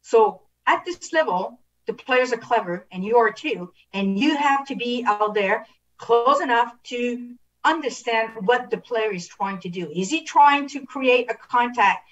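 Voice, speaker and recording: High-voiced middle-aged woman coaching, distant mic. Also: unhurried, dull audio. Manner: instructive, rhetorical questions, frequent repetition. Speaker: referee instructor. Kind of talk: video analysis coaching at an online clinic. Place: indoors on a video call.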